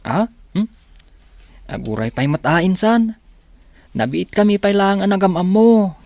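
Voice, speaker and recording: A man's voice: high-pitched, unhurried, darkish tone, no echo. A man playing a shy, hardworking young student with an Ilocano Filipino accent in a comedy radio drama, speaking in a bashful, hesitant way. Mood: sheepish. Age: young adult.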